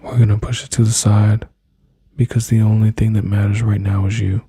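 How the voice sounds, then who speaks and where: A man speaking softly and slowly in an intimate, soothing boyfriend-style ASMR roleplay, his low breathy voice often dropping into whispers close to the mic. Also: little pitch movement, no echo. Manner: soft, slow, soothing, intimate address to listener.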